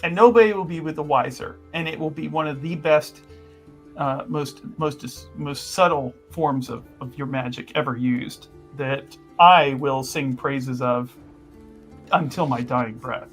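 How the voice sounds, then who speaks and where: A man playing a smooth-talking schemer in a tabletop game, persuading in a halting, wheedling, euphemistic way. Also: a little high, faint music behind, lightly acted. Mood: persuasive.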